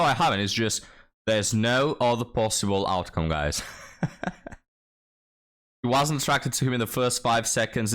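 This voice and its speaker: A man's voice: mid-range voice, fairly bright tone. A man hosting a reaction channel, commenting on a clip with sarcastic, mocking asides to his viewers. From Europe.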